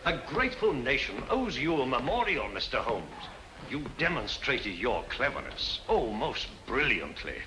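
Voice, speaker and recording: A man acts a pompous English museum official, formal and indignant. His mid-range voice swings emphatically up and down. The old film soundtrack is clearly noisy. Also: medium pitch, very animated, moderate pace, lightly acted. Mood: scathing. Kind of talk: vintage detective film acting.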